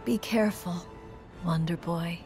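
An actress playing a wry, affectionate young woman in an animated fantasy game, relaxed and teasing in manner. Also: on the low side, a little slow, faint music behind. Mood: wry, fond.

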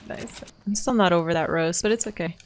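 A woman's voice: fairly low pitch, brisk, heavy background noise. A young adult woman chatting casually with teammates while she plays a video game. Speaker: gamer in a team match. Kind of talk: casual gameplay chat. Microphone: desk boom microphone.

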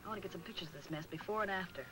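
An actress playing a headstrong young news photographer in an old 1940s film serial. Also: female voice, on the low side, racing, close to an everyday voice, low-quality recording. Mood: eager. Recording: 1940s film soundtrack with music.

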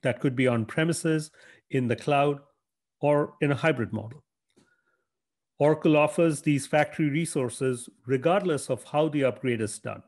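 A man presenting a scripted corporate talk in a measured, formal way, reading from prepared text. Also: fairly high pitch, a little mellow. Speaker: product presenter.

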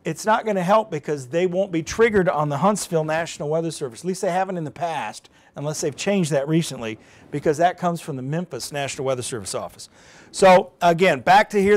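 A middle-aged man presenting weather on TV, giving an informal live how-to and thinking aloud as he goes. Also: high voice. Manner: informal, thinking aloud while handling a device. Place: TV studio. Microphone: lapel mic.

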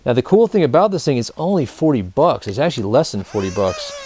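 A man's voice: medium pitch, big pitch swings, fast, background noise. A man in his thirties giving an informal, colloquial product demonstration to camera as the host of a gear review show. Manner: informal, colloquial, addressing viewers as guys. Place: indoor studio with backdrop, dry sound.

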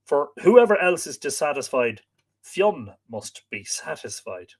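Man playing a gruff, blustering, hot-tempered storybook master. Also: fairly high pitch, sing-song, unhurried, exaggerated voice. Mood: demanding. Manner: thundering, commanding, blustering. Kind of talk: animated storybook reading.

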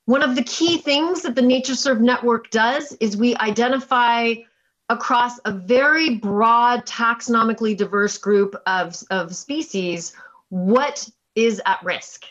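A woman scientist giving a keynote presentation in a measured, explanatory way over a video call. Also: on the high side, a bit bright, lo-fi sound. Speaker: keynote speaker and chief scientist. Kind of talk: conference presentation with slides.

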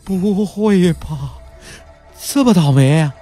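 A man voicing a wry, wary young cultivator hero in a fantasy audiobook, exclaiming in slow, drawn-out words. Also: high-pitched, expressive, warm tone, faint music behind, pitched above the performer's own voice, full character voice. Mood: wry dismay.

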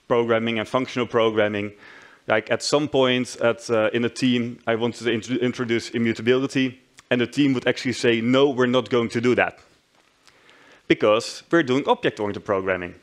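Dutch-accented man giving a conference talk. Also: medium pitch. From Netherlands. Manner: friendly, self-deprecating, with frequent restarts. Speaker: conference speaker. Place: large conference hall stage.

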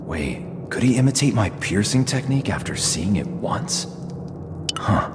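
Brooding, low-voiced male mentor. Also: big pitch swings, unhurried, heavy background noise. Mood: musing. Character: brooding, cautious martial-arts mentor, adult man. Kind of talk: dramatic game cutscene acting.

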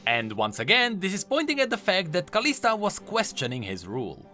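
A man's voice: high voice, wildly swooping pitch, fairly quick. A man giving enthusiastic, emphatic explanatory commentary on video game lore. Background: faint music.

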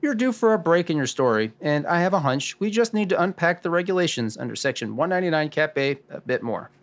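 A man's voice: a little high, lively intonation, fast. A man playing a confident sleuth who explains and guides in an old-time radio drama. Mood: assured. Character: confident male sleuth-like host guiding the case. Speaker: host. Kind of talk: 1940s radio drama acting.

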